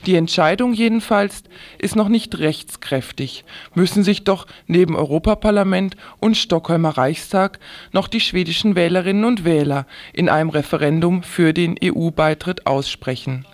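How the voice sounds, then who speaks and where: Male news reader, notably high voice, delivering scripted radio bulletins. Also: normal speed.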